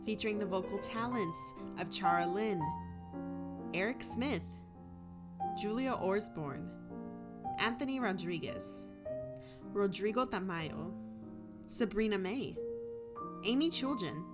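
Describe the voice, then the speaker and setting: A woman's voice: medium pitch, animated, slow pace, pauses often. A woman announcer reading scripted credits for an audio drama podcast. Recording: loud piano music under voice. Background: loud piano music.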